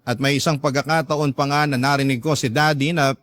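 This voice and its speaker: A man's voice: mid-range voice, steady tone, fairly quick, fairly bright tone, dry recording, studio quality. A man with a Filipino accent, a radio host reading a listener's letter as earnest, sentimental dramatic storytelling.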